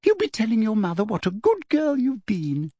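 A sugary, silky-voiced woman official, soft and simpering but cold beneath the smile, as a man puts her on in a high, lilting voice. Her tones float well above the reader's own, dry and close, with a husky edge. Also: wildly swooping pitch, normal speed, no room sound, exaggerated voice. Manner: silky, falsely sweet. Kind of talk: audiobook character voice.

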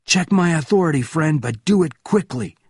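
A hot-headed young Soviet in an audiobook thriller, played by a male narrator, barking urgent commands. The voice is raised, forceful in its swings and a little husky. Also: fairly high pitch, expressive, slow, dry recording, clean studio sound, voice pushed up, exaggerated voice. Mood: commanding, impatient. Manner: shouting, urgent.

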